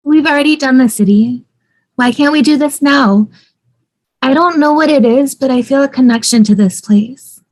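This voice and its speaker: A high-pitched young wife played by a woman at a table read. She is earnest, practical and persuasive, talking in a natural conversational way on a video call. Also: moderate pace, rough recording. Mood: hopeful.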